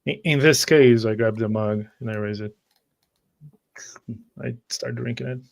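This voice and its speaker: A man playing a tabletop roleplaying game, speaking in character in a polite, formal and halting manner. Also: medium pitch, gravelly.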